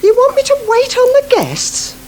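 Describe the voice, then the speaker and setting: A diffident, put-upon village woman answers hesitantly and politely in a radio soap. Her voice is high and very mobile, with faint noise on the old broadcast. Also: huge pitch swings, normal speed, a little mellow, full character voice. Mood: taken aback. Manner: hesitant, deferential. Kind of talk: naturalistic radio soap acting. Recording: noisy 1980s radio broadcast.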